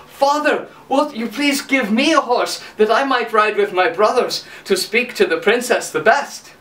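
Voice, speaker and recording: Storyteller putting on a simple, eager young man, high and chirpy, sing-song, roomy distant sound. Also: a little slow, fairly bright tone, lightly acted.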